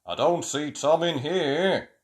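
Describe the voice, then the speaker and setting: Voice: medium pitch, slow, lightly acted. A storyteller voicing a cheerful, good-natured young animal patient from a children's book, with emphatic delivery. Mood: helpful.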